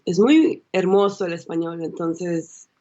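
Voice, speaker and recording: A young woman from the United States with a Salvadoran and Mexican-influenced Spanish accent, a consultant guest talking in a halting way with restarts over a video call. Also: lowish voice, dark tone, highs cut off. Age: young adult. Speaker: guest, early childhood consultant. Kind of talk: casual interview.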